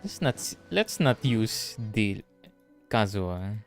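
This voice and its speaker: Low-pitched man streaming a game. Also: sing-song, slow pace, soft background music. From Philippines. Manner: muses aloud, mildly swearing. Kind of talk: casual live gameplay commentary.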